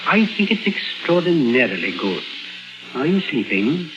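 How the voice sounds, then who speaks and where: A man acting a composed, authoritative psychiatrist in a 1950s radio drama, with a formal, clinical, deliberate way of questioning. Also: on the high side, very animated. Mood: quietly probing. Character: composed, probing male psychiatrist, brisk and authoritative. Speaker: actor. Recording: dull vintage radio recording with music behind.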